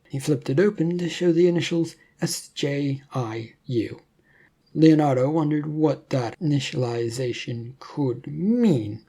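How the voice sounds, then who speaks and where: A narrator delivers scripted adventure fiction in a dark, relaxed tone. The voice is medium in pitch and breathy around the edges.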